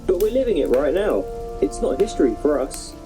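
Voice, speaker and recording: A man's voice: on the high side, big pitch swings, a little fast, music in the background. A man playing a frightened but defiant young human in an audio drama, blunt and anxious. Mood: doubtful.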